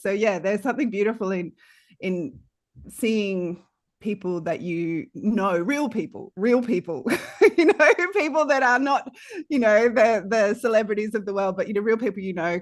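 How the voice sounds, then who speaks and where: A woman's voice: mid-range voice, no echo. A woman with a mid-range voice speaks earnestly in a dry, close recording. She is casual and thoughtful, encouraging, and often repeats a phrase to rework it. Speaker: panel guest, podcaster.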